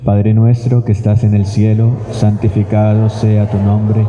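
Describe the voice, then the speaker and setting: A man from Colombia leading prayers and giving a spiritual talk at a retreat, slow and earnest, repeating phrases for emphasis. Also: fairly low pitch, monotone, noisy background. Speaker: retreat speaker. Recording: echoey, muffled hall recording.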